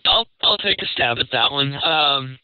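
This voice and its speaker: Dull, lo-fi sound with no room echo carries a man's mid-pitched voice. He talks as an expert panelist, casual but measured. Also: lively intonation, clean tone. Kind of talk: casual advisory talk. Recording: dull low-fidelity radio-like sound.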